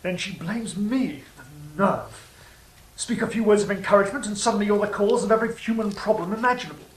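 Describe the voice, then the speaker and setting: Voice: mid-range voice, fairly quick, echoey room, recorded from a distance, close to an everyday voice, poor audio. A performer voicing a gleefully sly serpent of Eden in an audio drama, teasing and self-satisfied, with mock affection. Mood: smug, mock-aggrieved. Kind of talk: theatrical audio drama acting.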